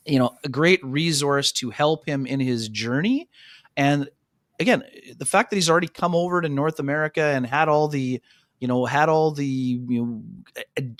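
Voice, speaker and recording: Male hockey radio co-host, casual chatty delivery, fillers and restarts, fairly high voice, dry studio sound. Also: a bit bright, dry recording. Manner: chatty, many "you know" fillers and restarts; addresses co-hosts as "fellas". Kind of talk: casual sports talk radio chat.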